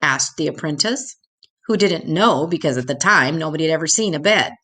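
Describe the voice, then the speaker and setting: An older woman reading a children's picture book aloud in a deliberate, expressive way, drawing out key words for young listeners. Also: low-pitched, slightly rough.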